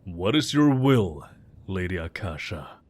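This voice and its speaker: A man's voice: sits low, big pitch swings, measured pace, exaggerated voice. A man playing a stern, proud, ancient vampire in an audio roleplay, speaking in a formal, solemn way.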